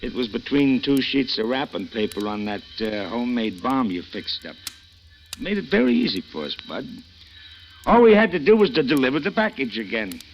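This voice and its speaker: A man's voice: medium pitch, very animated, normal speed, a little hiss or hum. An actor playing a smug male lawman who mocks a caught bomber, in 1940s radio acting style on a dull old broadcast recording. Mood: gloating. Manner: mocking, gloating.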